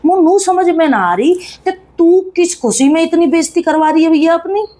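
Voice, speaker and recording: A woman's voice: extremely high pitch, expressive, moderate pace, mellow. A woman, giving casual, blunt, chiding gossip commentary to viewers in colloquial speech. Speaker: YouTube commentator.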